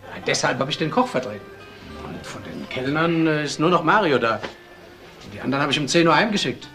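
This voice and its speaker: A man playing an excitable, suspicious restaurant landlord in a crime radio drama, talking briskly. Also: fairly high pitch, faint music behind. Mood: helpful, flustered.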